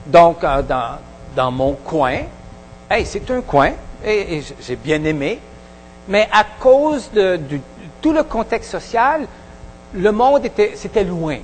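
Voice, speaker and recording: Pasteur québécois hésitant, voix aiguë. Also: male voice, high voice, sing-song, in a large hall, slight background noise, rough recording. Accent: Québécois French. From Quebec. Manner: halting, many hesitations and restarts. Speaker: pastor. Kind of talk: sermon. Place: church hall.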